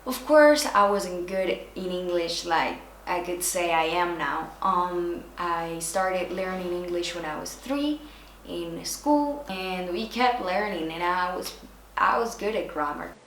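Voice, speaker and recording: A young woman with a Spanish accent talks slowly through a casual vlog. Her voice sits a little low. The room echoes and there is a faint hiss. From Spain, born in Argentina. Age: young adult. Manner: slow, relaxed, switches between languages. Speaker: YouTuber.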